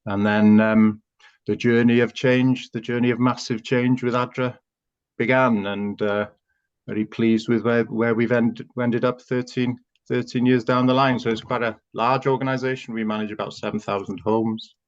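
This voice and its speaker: A man from North Wales whose first language is Welsh, a chief executive telling his career story as a podcast guest, relaxed with frequent ums. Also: medium pitch, moderate pace, highs cut off. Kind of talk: casual interview answer.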